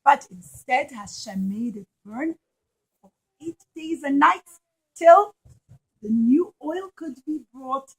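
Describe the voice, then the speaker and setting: High voiced lady doing storytime for little kids, emphatic, repeats herself a lot. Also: lively intonation, slow pace, creaky, low-quality recording. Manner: emphatic, repeats phrases for children. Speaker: children's storyteller and puppet show presenter. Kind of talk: animated storytelling to young children.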